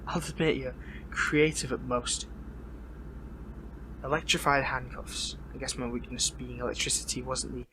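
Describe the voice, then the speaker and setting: A man playing a bitter, defiant, sarcastic superpowered fighter in a dramatic audio roleplay, speaking haltingly with a biting edge. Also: medium pitch, fairly even intonation, background noise, lightly acted. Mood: wounded, sarcastic.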